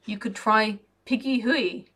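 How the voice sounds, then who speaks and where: A woman doing a cheeky, gruff old servant. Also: a little high, fairly even intonation, measured pace, fairly bright tone. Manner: blunt, colloquial, cheeky.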